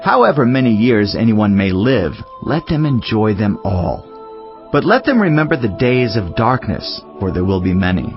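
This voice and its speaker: A man playing a wise, solemn teacher of ancient scripture in a dramatized audiobook, speaking in a measured, deliberate way. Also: lowish voice, big pitch swings, dull audio. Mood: earnest counsel. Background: background music.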